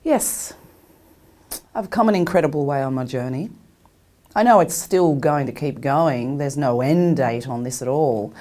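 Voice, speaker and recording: Low, mellow-voiced woman reflecting. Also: measured pace. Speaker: carer sharing personal experience. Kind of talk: reflective personal testimony.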